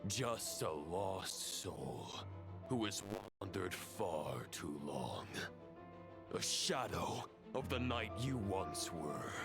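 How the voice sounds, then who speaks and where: An actor playing a grave, coldly convinced fallen knight phantom in a video game, speaking in measured, formal phrases. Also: male voice, low voice, sing-song, gravelly, over music, heavily acted. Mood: solemn, grave. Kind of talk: dramatic video game acting.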